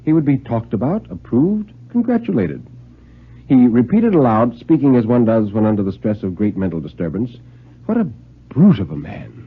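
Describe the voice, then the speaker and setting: A man narrates a suspense story in vintage 1950s radio fashion. His pitch swings widely and dramatically, with deliberate pauses, and his voice is somewhat husky. The recording is muffled and narrow in band.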